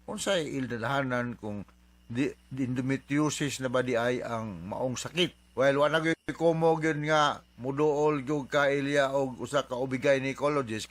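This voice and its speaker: A man's voice: mid-range voice, fairly bright tone. Man, Cebuano accent, mid-range voice, radio doctor host, conversational advice, English mixed in, questioning tags. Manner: informal, conversational, often tags phrases with questioning interjections.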